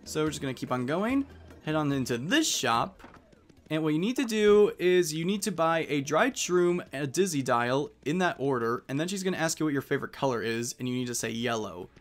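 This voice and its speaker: A man with a fairly high voice gives casual gameplay commentary. Soft music plays behind him as he talks through what he is doing. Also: expressive, a little fast. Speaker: let's player.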